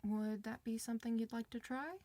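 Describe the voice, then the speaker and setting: A woman's voice: fairly high pitch, steady tone, dark tone, no room sound, close to an everyday voice. A woman playing a friendly, reassuring doctor for werewolves in an informal, one-sided ASMR roleplay. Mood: calm, reassuring. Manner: reassuring, informal, hesitant pauses.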